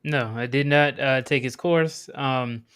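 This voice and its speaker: Bright, smooth-voiced male caller. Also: medium pitch, a little slow. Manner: relaxed, hesitant, filler-heavy answers. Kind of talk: casual chat.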